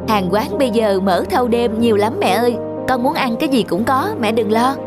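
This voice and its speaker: A woman acts as a popular young office worker in a cartoon. She talks in a high, confident, casual voice with sociable ease, over noticeable music. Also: animated, fairly quick, lightly acted. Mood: reassuring. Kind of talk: animated drama dub.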